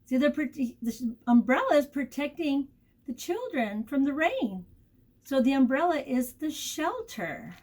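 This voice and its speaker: A woman teacher leading a storytime lesson for young children, asking questions, encouraging them and repeating key words deliberately. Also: high-pitched, very animated, darkish tone. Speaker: preschool teacher.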